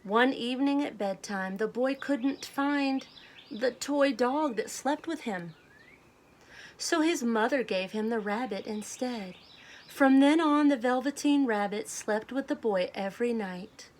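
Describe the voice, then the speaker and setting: A woman reading a storybook aloud to children, speaking in a deliberate, simple way. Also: a little high. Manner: deliberate, simple phrasing addressed to young children. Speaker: children's read-aloud host.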